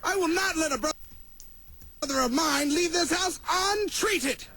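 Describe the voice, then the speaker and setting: A performer voicing an excitable, boastful, naive skeleton brother with a theatrical, exclamatory delivery in a comic dub. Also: very high voice, measured pace, slight background noise, exaggerated voice. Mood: indignant, protesting. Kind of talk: fan comic dub acting.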